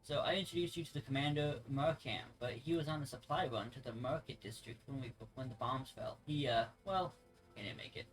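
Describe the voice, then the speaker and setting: Man reading as friendly mercenary officer, medium pitch, conversational, plain-spoken, video game dialogue, music behind. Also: brisk, lightly acted, low-quality recording. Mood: sympathetic. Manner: conversational, stumbles over words.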